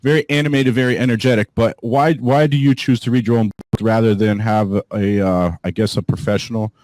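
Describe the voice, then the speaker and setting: A man hosting a podcast, asking questions in a relaxed, slightly hesitant way. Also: medium pitch, a little fast, low-quality recording. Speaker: podcast host. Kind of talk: interview questions.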